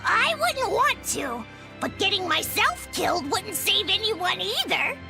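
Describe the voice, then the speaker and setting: A woman voicing a small, timid, high-strung creature in a video game, with a shrill, plaintive delivery. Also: very animated, measured pace, vocal fry, full character voice. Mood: fretful, pleading. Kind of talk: English video game voice acting. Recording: loud game music behind.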